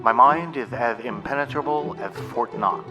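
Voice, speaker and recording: A man's voice: medium pitch, moderate pace, music in the background, natural voice. An actor playing a pompous, bossy, nerdy boy mission commander in an audio drama, speaking in formal, wordy phrases. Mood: pompous, stern.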